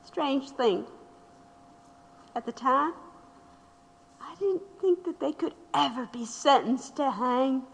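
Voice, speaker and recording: An actress playing a sorrowful older widow in an audio drama, haltingly reminiscing about the past. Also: female voice, high-pitched, creaky, husky, full character voice. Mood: wistful. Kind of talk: reflective dramatic dialogue. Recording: long hall-like reverb.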